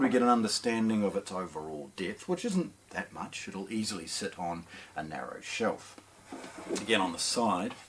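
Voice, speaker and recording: A man giving an unscripted product walkthrough as a shop presenter, explaining in a measured way and repeating words for emphasis. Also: lowish voice, slight background noise. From New Zealand.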